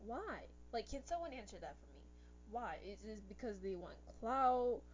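Young woman podcast host, a bit hoarse, rambling, self-correcting chatter; slightly muffled take with a faint hum. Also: on the high side, very animated, normal speed. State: losing her voice, slightly hoarse. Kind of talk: casual podcast chat.

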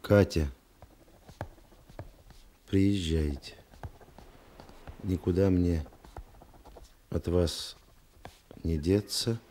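Restrained detective, very low mellow voice, laconic, hesitant, radio drama. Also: male voice, slight background noise. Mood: resolute, musing. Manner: laconic, hesitant, deliberate phrasing. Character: plain, restrained, lovestruck male police detective. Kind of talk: Russian radio play acting.

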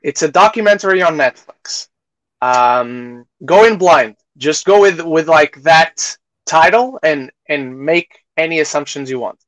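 A man hosting a podcast, chatting casually through film reviews, haltingly repeating phrases and acting out imagined reactions. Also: a little high, expressive, a bit bright, no room sound, low-quality recording. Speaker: podcast host reviewing films.

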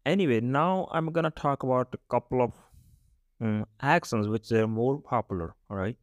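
A man's voice: medium pitch, moderate pace, dry recording, studio quality. A young man from Punjab with a Punjabi accent, hosting a casual spoken lesson podcast and often checking in with "right?" between his points. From Punjab, India. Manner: chatty, often adds "right?" and "all right?". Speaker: podcast host teaching Punjabi.

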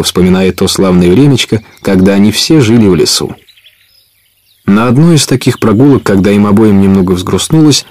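Man, audio play narrator, low voice, dry studio sound, scripted storytelling. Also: darkish tone, no echo.

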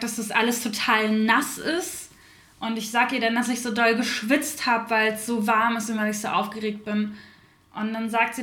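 A woman's voice: a little high, reverberant, close to an everyday voice. A woman voicing an outspoken young mother recounting her birth, in a theater radio play monologue. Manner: forceful, outspoken.

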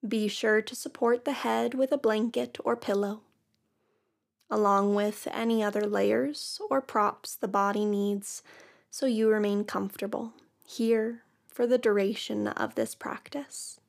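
A woman teaching yoga nidra, guiding a relaxation practice in a soft, soothing way with long pauses. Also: medium pitch, fairly even intonation, creaky. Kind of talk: guided meditation.

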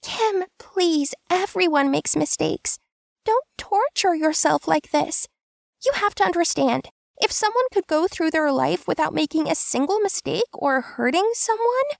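A tender but firm young woman pleads with a wounded friend, as a woman reading a story puts her on. Her voice sits well above the narration and carries a faint gravelly edge. The sound is close and dry, with no room around it. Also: very high voice, expressive, measured pace, no room sound, voice pushed up, heavily acted. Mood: pleading. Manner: pleading and soothing, then stern.

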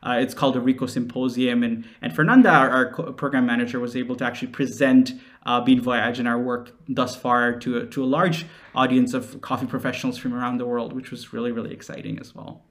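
A man's voice: mid-range voice, little pitch movement, fairly quick, hardly any pauses, fairly bright tone. A man in his late twenties from Nepal, answering interview questions conversationally in an earnest, explanatory manner. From Nepal, raised in several countries. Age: late 20s. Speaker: interview guest, social entrepreneur.

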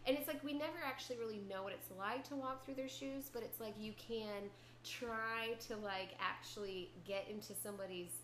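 Bright-voiced woman podcast host. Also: fairly high pitch, moderate pace. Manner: bubbly, profane, gushing. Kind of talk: casual podcast chat. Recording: echoey room with faint noise.

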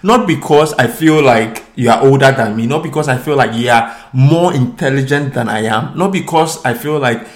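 A man, co-hosting a casual podcast conversation, telling stories in an animated way and acting out other people's lines in a raised voice. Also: medium pitch, normal speed, few pauses. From Ghana. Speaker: podcast co-host.

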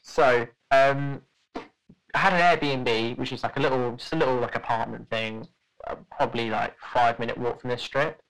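A man's voice: medium pitch, normal speed, a bit bright, low-quality recording. A young man telling a story in casual podcast chat, rambling with lots of fillers and restarts.

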